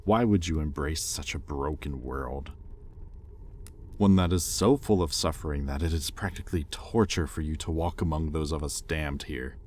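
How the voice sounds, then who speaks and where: A man's voice: extremely low pitch, normal speed, slight background noise. A man playing a bitter, conflicted demon in a roleplay audio drama, speaking to his captive with brooding intensity. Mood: resentful, resigned. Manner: brooding, intense, swinging between hushed confession and heated accusation. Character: bitter, conflicted male demon, possessive owner of a captive angel. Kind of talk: scripted roleplay audio acting, addressed to the listener.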